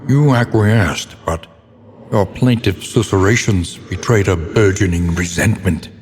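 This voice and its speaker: An actor playing a grave, erudite older scholar in a horror game, narrating a dark occult past with solemn, deliberate, ornate diction. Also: male voice, deep voice, slightly rough. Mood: grave, brooding. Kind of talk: dramatic scripted gothic narration. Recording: long cavernous reverb.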